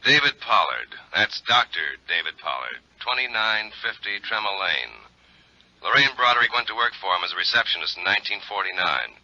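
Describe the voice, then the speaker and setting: Terse businessman on the phone: very sharp, forceful voice, dry muffled 1950s radio recording. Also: lowish voice, big pitch swings, a little fast, dry recording, full character voice. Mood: brisk. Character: brisk, businesslike male colleague on the telephone. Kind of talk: 1950s radio drama acting.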